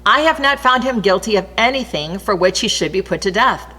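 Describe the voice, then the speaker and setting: A woman's voice: medium pitch, expressive, brisk, pushed and bright, slightly rough, voice pushed up. A woman reading the part of a male Roman governor reasoning and protesting before a crowd in a Bible story. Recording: long reverberant hall echo.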